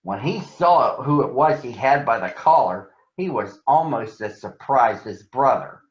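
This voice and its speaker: Animated, unhurried man reading a storybook, echoey room. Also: mid-range voice, dull audio. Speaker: storybook reader. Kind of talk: expressive read-aloud of a children's story.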